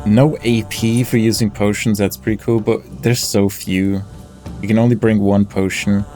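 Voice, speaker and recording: Male let's play host: relaxed, dark tone, casual musing delivery, faint music behind. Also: fairly low pitch, moderate pace. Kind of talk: casual game commentary, thinking aloud. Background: game music.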